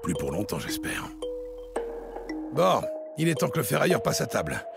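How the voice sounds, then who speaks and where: An actor playing a hard-boiled New York police detective in a noir audio drama, terse, cynical and streetwise, with a gravelly voice. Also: male voice, mid-range voice, huge pitch swings, normal speed, loud music behind the voice, exaggerated voice. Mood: weary, cynical, then brisk, threatening. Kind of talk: noir audio drama acting and first-person narration.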